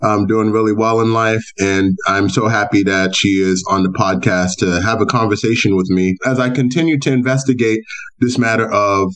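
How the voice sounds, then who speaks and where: A man with a lowish voice hosts a podcast interview. He talks casually and playfully, telling stories with plenty of fillers. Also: steady tone, normal speed, a bit bright, low-quality recording. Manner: playful storytelling, lots of fillers. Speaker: podcast host.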